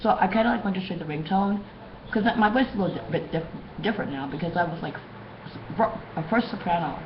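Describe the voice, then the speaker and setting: A hoarse woman talking to her webcam in a rambling, repetitive way, often restarting her sentences. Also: on the low side, quick pace, dull audio, noisy background. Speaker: vlogger. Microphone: webcam mic.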